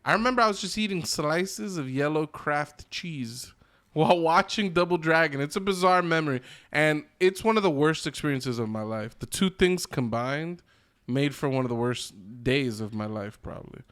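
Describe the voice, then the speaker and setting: A man's voice: on the high side, animated, moderate pace, fairly bright tone. A man co-hosting a podcast in casual banter, talking in an emphatic, exaggerating, slangy and sweary way. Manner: emphatic, hyperbolic, slangy and profane. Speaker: podcast co-host.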